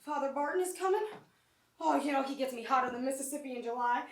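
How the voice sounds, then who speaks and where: Woman playing a high-pitched, bawdy Southern flirt. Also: quick pace. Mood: bawdy, sassy. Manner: bawdy, sassy, complaining. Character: brash, lustful, shameless Southern country woman at a funeral. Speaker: actor in a staged reading of a short play. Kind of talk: live amateur stage comedy acting. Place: small college theatre stage. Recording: roomy distant theatre mic, background noise.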